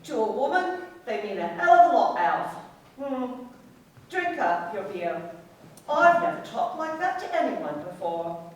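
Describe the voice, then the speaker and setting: High-pitched, proud barmaid in echo. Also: female voice, sing-song, slight background noise. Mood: wistful, proud. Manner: brisk, teasing, haughty. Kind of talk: radio play acting. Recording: very echoey, distant from the mic.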